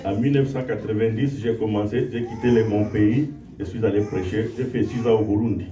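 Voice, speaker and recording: A visiting preacher giving a testimony sermon in short phrases, pausing often so each line can be interpreted. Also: male voice, fairly low pitch, steady tone, warm tone, slight background noise. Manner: short phrases paused for interpreting, rallying the crowd. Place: church hall. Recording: echoey hall, distant mic, crowd.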